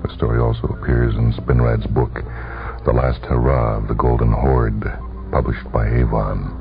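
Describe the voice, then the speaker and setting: A man narrating a literary story for radio with dramatic, deliberate phrasing. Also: extremely low pitch, flat delivery, gravelly. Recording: tinny vintage radio broadcast, music behind. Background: music.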